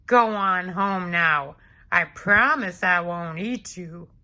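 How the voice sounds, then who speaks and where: A woman's voice: fairly low pitch, pushed and bright, heavily acted. A woman voicing a big, hungry male giant who tells his side of a storybook tale in an aggrieved, exclamatory way. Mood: blustering. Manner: exclamatory, indignant, deliberate storyteller. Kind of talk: expressive children's storybook read-aloud.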